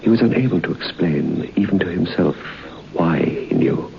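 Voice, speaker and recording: A man narrating a suspenseful story in measured, scripted style for an old radio drama. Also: low-pitched, animated, vocal fry. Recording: muffled old radio transcription with faint hiss.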